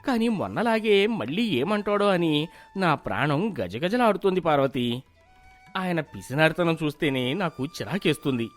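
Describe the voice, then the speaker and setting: A man playing a weary, anxious village father in an animated moral story, gentle but grumbling. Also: fairly high pitch, moderate pace, soft background music. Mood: worried, grumbling.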